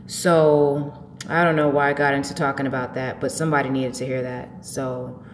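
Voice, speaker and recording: Woman, low-pitched, spiritual show host, informal and preachy, emphatic delivery, reverberant room sound. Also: little pitch movement, moderate pace. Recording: echoey, reverberant room with faint noise.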